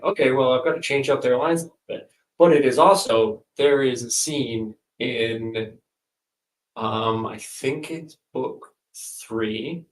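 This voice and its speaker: A man's voice: medium pitch, roomy sound, far from the mic, rough recording. A man, an author, chatting casually and rambling, with many fillers and restarts. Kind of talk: casual chat.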